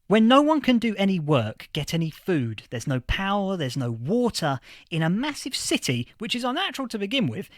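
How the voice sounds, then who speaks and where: An actor playing a history professor from a post-apocalyptic future in a fiction podcast interview, explaining things with emphatic lecturing. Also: male voice, high-pitched, very animated, normal speed, few pauses, no echo, studio quality. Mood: emphatic, explanatory.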